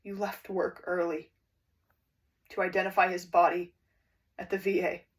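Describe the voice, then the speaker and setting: A woman's voice: lowish voice, little pitch movement, normal speed, distant mic, close to an everyday voice. A woman performing an anguished, grieving friend in a dramatic stage monologue, telling it with emphatic, accusing weight. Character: anguished friend grieving a self-destructive alcoholic loved one. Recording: echoey room.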